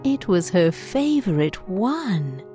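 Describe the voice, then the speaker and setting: A woman narrating a children's bedtime story in a gentle, measured, scripted way. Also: on the low side, sing-song, soft background music.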